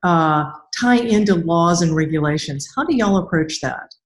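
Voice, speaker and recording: A woman's voice: fairly low pitch, expressive, moderate pace. A woman hosting an interview, talking in a blunt, informal, conversational way. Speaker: podcast host. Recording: roomy, echoing voice pickup.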